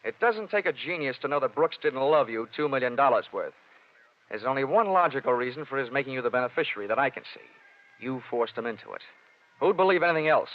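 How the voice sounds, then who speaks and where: An old, muffled radio recording carries an actor as a tough American male private investigator. He talks in a clipped, wisecracking way at a medium pitch. The style is hard-boiled 1940s radio drama, dry and sardonic. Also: lively intonation, fast talker, close to an everyday voice. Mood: pressing, hard.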